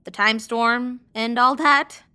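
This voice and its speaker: Bright, high-voiced eager girl. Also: fairly even intonation, slow, clean tone. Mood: amazed, eager. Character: eager, curious girl who believes in legends. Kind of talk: audio drama acting.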